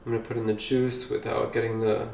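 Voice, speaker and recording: A man chatting casually while baking at home, rambling and easily excited. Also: medium pitch, fast, vocal fry, slight background noise. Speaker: vlogger. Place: home kitchen. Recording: echoey kitchen, slightly distant mic.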